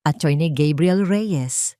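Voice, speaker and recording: A woman's voice: deep voice, slow pace, warm tone, no echo. Woman acting as a shrewd, grave father. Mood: grave, admiring. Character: shrewd father advising his daughter, remembered.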